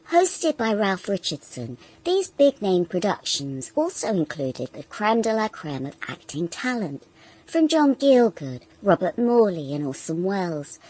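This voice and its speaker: Female presenter for a scripted introduction, lowish voice, animated intonation. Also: poor audio. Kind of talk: scripted narration, programme introduction.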